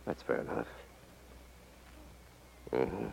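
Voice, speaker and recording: An actor playing a confident, cool-headed detective in 1950s radio style, firm and wry, on a muffled old recording. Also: male voice, very slow, darkish tone, dry recording. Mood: composed. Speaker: radio drama actor, lead.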